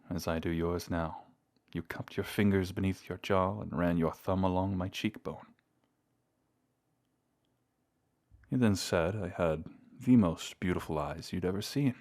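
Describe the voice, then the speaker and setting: A man playing a brooding, courteous vampire in an intimate audio roleplay, speaking to a lost love in formal, measured phrases. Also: low-pitched, a little mellow. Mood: tender, longing.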